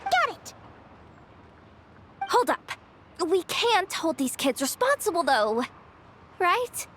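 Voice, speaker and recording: A woman voicing a small, excitable, childlike fairy companion in a video game, with a squeaky voice and an earnest, dramatic manner. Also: slow pace, background noise, exaggerated voice. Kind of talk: video game character acting. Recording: game music behind the voice.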